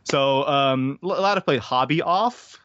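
A man's voice: medium pitch, measured pace, a bit bright, no room sound, lo-fi sound. An American man from Hawaii chatting casually as an interview guest, rambling, slangy and joking. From Hawaii, United States. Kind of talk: casual chat.

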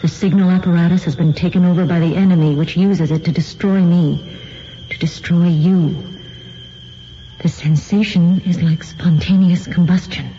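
An actress playing an intelligent, wry female book editor in a radio drama, speaking in a measured, foreboding, pointed way. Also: on the low side, fairly even intonation, dark tone, faint music behind, lightly acted. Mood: grave, ominous. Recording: dull lo-fi radio recording, faint noise.

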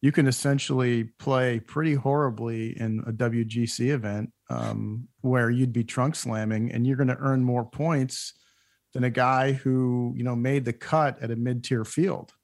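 Gravelly man asking thoughtful questions. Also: medium pitch, steady tone, measured pace, a little mellow. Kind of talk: casual panel discussion.

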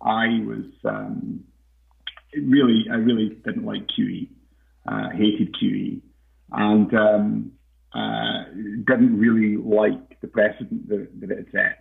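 A man as a podcast guest in casual interview talk, hesitant with many fillers and restarts, his voice croaky. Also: on the low side, little pitch movement, slow. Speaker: podcast guest, investment researcher. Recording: tinny phone-like line.

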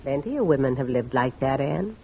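An actress playing a stoic, plain-spoken older widow with a husky voice in an old radio drama. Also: female voice, very deep voice, lively intonation, a little fast, warm tone, heavily acted. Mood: resigned. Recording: muffled old radio recording.

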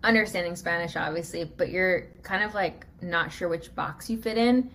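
A woman from the United States hosting an interview, chatty and quick to relate her own experience. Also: fairly low pitch, a bit bright, slight background noise. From USA. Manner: chatty, laughs easily, relates personally. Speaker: podcast host. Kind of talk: casual interview chat.

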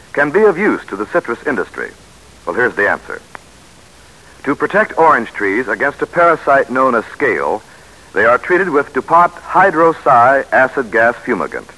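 Casting: male American old-time radio announcer, lively pitch swings, forceful but slightly rough tone, scripted polish, vintage broadcast sound. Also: rough recording. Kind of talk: 1940s radio announcing, scripted sponsor narration.

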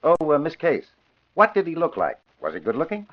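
An actor playing a genial, hearty middle-aged police commissioner in an old radio drama. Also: male voice, fairly high pitch, huge pitch swings, fairly quick. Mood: genial, curious. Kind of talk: 1950s radio drama acting. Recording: dull vintage radio broadcast.

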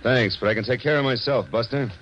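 A man's voice: mid-range voice, fast talker, a little hiss or hum, close to an everyday voice. An American man playing a hard-boiled, sardonic private detective in a 1940s radio noir drama, with terse, wisecracking delivery. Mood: tough. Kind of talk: 1940s radio noir acting and first-person narration. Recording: muffled vintage radio recording with music.

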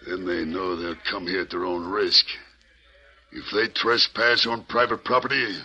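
A man playing a gruff blustering mine boss. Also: sits low, flat delivery, unhurried, pushed and bright, muffled recording, full character voice. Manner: blustering, coarse, scoffing. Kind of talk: 1940s radio acting.

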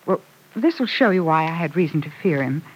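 An actress playing a frightened, guilt-stricken wife in a 1940s radio soap opera, speaking in an earnest, dramatic way. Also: female voice, low voice, lively intonation, a little fast, husky, rough recording. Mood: anxious, explaining. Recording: old radio broadcast recording.

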